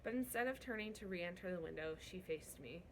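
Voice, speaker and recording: Woman, lower-pitched, story read-aloud, narrative delivery, noisy amateur recording. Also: animated, fairly quick. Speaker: book reader. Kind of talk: expressive audiobook-style read-aloud of a novel.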